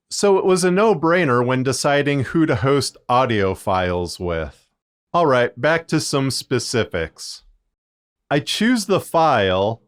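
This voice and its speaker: A man with a smooth, clean voice at a medium pitch narrates a how-to guide. He takes his time, moving methodically through each point. The delivery is scripted but conversational and plain-spoken. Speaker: podcast creator and tutorial narrator.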